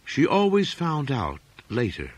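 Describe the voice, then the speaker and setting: Husky male narrator with sweeping, dramatic intonation. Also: medium pitch, no echo. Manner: measured, expressive literary reading. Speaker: audiobook narrator. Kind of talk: dramatic scripted narration of gothic horror fiction.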